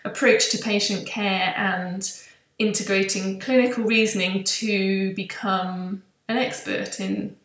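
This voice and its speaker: Female interviewer, medium pitch, conversational and agreeable, rambling phrasing, echoey recording. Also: fairly even intonation, slow, fairly bright tone. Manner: agreeable, rambling, rephrasing as she goes. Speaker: interviewer, physiotherapist. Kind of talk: casual interview chat. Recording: echoey room sound.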